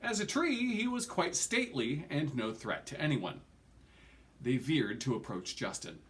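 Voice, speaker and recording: A man's voice: medium pitch, very animated, measured pace, fairly bright tone. A man in his forties reading a fantasy novel aloud with dramatic emphasis and the odd stumble, recorded at a desk at home. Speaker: reader of an audiobook. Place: small furnished room at home, indoors.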